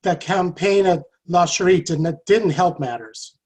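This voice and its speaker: A man plays a loyal comrade in arms with a husky voice. He speaks earnestly and consolingly, reading from a stage play. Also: high voice, a little slow, a little mellow. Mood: indignant. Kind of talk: virtual table read of a play.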